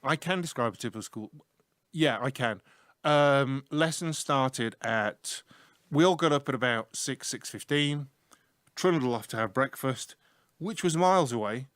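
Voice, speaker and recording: A man's voice: medium pitch, fairly bright tone, no room sound, clean studio sound. A middle-aged man with a Yorkshire accent, an IT teacher hosting a casual chat and telling stories about his school days with humour. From north of England. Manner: chatty, humorous storyteller. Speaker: IT teacher and show host. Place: small room.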